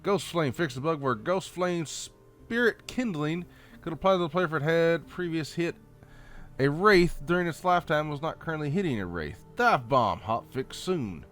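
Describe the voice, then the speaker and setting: A man with a Southern American accent reads update notes aloud in a folksy, halting way, adding off-the-cuff comments. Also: a little high, fairly bright tone. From Southern United States. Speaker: gaming channel host.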